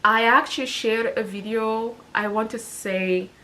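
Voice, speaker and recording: A woman's voice: fairly high pitch, fairly even intonation, measured pace, sharp tone. A woman in her thirties talking casually to camera as a personal finance vlogger, chatty and upbeat, often correcting herself mid-sentence. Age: 30s.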